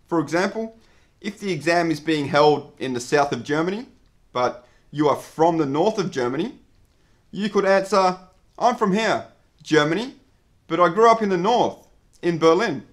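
A man, explaining an English exam lesson to camera at a clear, measured pace. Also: on the high side. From Australia. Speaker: English teacher. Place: indoor studio with dark backdrop.

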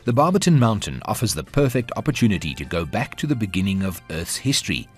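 A man narrating a television documentary in a measured, scripted style. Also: on the low side, sing-song, breathy edge, faint music behind. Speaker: program narrator.

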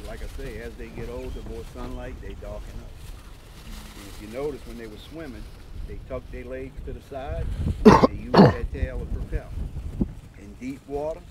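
Tour guide man in loud wind. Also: mid-range voice, moderate pace. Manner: folksy, plain-spoken. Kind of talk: informal guided tour commentary. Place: outdoors on an open airboat in a marsh. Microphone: camera mic a few metres away. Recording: loud wind and boat noise.

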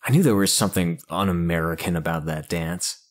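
A man voicing a gruff, impatient federal agent boss, brusque and exasperated. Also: sits low, fairly quick. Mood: commanding.

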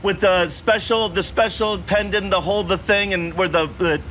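A middle-aged man with an American accent chatting casually as he plays a video game, halting and repeating himself as he thinks. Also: high voice, steady tone, a bit bright, slight background noise. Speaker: host playing a video game with his son. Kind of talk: casual gameplay chat. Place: home gaming room, indoors.

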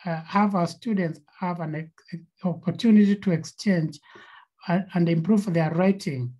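A woman's voice: on the low side, mellow, breathy edge, dull audio. Kenyan woman presenting on a video call. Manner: halting, with many fillers and repeated words. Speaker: co-founder presenting a nonprofit.

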